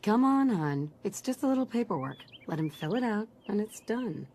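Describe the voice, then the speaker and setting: A woman playing an affectionate, teasing young wife and mother in a video game, casual and gently coaxing. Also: mid-range voice, sing-song, normal speed. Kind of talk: naturalistic video game voice acting. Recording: game audio, faint background noise.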